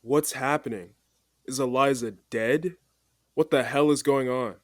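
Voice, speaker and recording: An actor playing an anxious, blunt business partner in an audio drama. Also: male voice, mid-range voice, steady tone, measured pace, no room sound, clean studio sound, lightly acted. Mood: alarmed.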